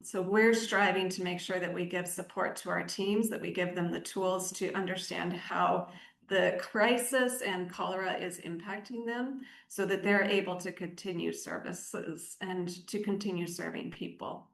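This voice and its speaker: A woman giving measured, articulate explanations as an aid director interviewed on the radio. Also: on the low side, fairly even intonation, creaky. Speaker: aid organisation country director, interview guest. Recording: roomy, echoing sound.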